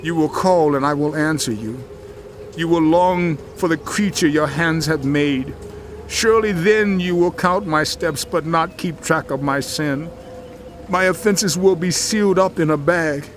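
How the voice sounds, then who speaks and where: A man's voice: fairly high pitch, slight background noise. An actor playing a suffering, mournful man who pleads with God in a solemn, poetic dramatized scripture reading.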